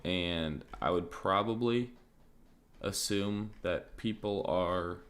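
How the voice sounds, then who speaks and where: Slow, low-voiced man, a bit raspy. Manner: relaxed, thinking aloud, many fillers like "like" and "um". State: slightly raspy, clearing his throat. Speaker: crypto trading streamer. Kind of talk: casual live-stream market commentary.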